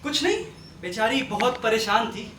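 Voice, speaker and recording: A man acting an earnest, persuasive family man in a stage play, speaking in a solicitous, measured way. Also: very high voice, very animated, sharp tone, full character voice. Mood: calm, reflective. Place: theatre stage, recorded from a distance. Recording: echoey stage, distant mic, faint noise.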